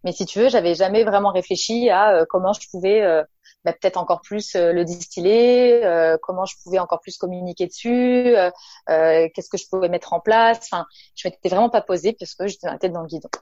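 A woman from the south of France talks casually in an interview, full of fillers and friendly asides. Her voice sits on the low side. The recording is dry and somewhat muffled. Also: fairly quick, dark tone, no room sound. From Nice, south of France. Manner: chatty, many fillers, addresses listener informally. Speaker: naturopath and entrepreneur, guest.

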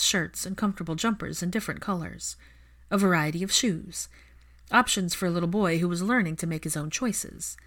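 Woman, fiction narrator, slightly low voice, scripted storytelling. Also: a bit bright. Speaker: fan fiction audiobook narrator.

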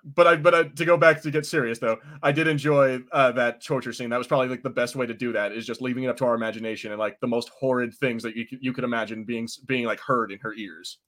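A man's voice: mid-range voice, steady tone, fast, hardly any pauses, fairly bright tone. Male host, mid voice, loose, chatty and rambling. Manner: easygoing, rambling, laughs often. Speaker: podcast host. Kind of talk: casual chat.

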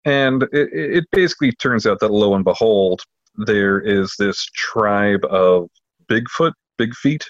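Male podcast co-host, lowish voice, halting informal explainer, dry muffled lo-fi sound. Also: animated, no room sound. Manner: halting, filler-heavy. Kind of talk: casual chat, informal book recommendation.

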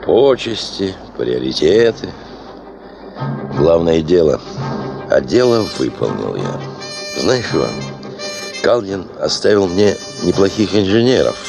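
An actor playing a domineering, driven chief of a prospecting expedition in a radio play, self-assured and musing. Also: male voice, low-pitched, big pitch swings, slow, vocal fry, pauses often, over music, full character voice. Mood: musing, intense. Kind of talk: Soviet radio play acting.

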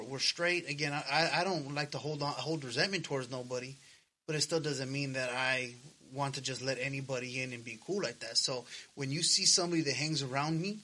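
A man's voice: medium pitch, bright, forceful tone. A man in his thirties chatting casually as a podcast co-host, earnest and slangy, swearing freely. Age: 30s. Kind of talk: casual personal chat.

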